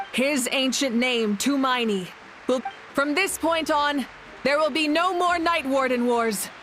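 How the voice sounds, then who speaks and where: Warrior nation's female leader, high voice, formal oratory, solemn warmth, game cutscene, music underneath. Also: low-quality recording. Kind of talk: video game cutscene acting.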